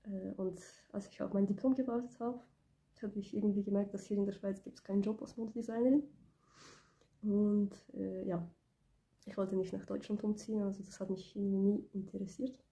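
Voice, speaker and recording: A young woman from Ukraine speaks with a mellow, slightly gravelly voice, kept low because she has a cold. She talks softly and hesitantly, pausing with fillers, straight into a phone camera in a small indoor room. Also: flat delivery. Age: 20s. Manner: soft-spoken, hesitant, many fillers. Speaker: beauty business owner. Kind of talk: casual personal vlog. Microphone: phone camera close up.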